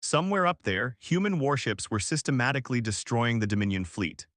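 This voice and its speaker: A man's voice: mid-range voice, sing-song, a little fast, fairly bright tone, no echo, studio quality. A man reading a dramatic science fiction story as a scripted narrator.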